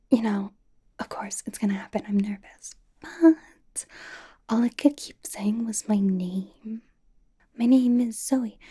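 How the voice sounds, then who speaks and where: A woman playing a bubbly, chatty young female K-pop idol in an ASMR roleplay, rambling casually and confidingly in a breathy whisper. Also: fairly high pitch, mellow, exaggerated voice. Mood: embarrassed, confiding. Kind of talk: ASMR personal attention roleplay.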